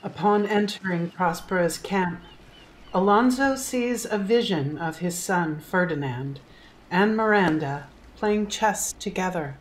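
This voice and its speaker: A woman's voice: fairly low pitch, expressive, unhurried, slight background noise, low-quality recording. A woman reading scripted narration of the action in an audio drama.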